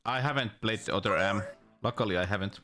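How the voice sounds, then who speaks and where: A low-voiced man chatting as he plays, rambling and joking with himself. Faint game music plays softly behind him. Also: quick pace. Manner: rambling, playful self-talk. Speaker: streamer. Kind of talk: casual gameplay chat.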